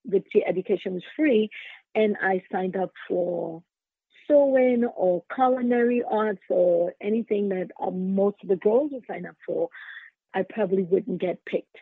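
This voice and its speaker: Podcast guest: Trinidadian woman, creaky voice, conversational storyteller, thin dry phone-quality audio. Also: medium pitch, measured pace, no room sound. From Trinidad and Tobago. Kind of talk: conversational interview answers, personal storytelling. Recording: narrow phone-like sound, dry.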